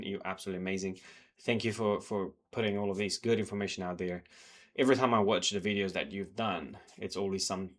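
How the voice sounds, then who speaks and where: A man slightly sick, talking casually through his trading journal and charts, rambling with many fillers and restarts. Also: lowish voice. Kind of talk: casual talk to camera, reviewing charts. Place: home in New Zealand.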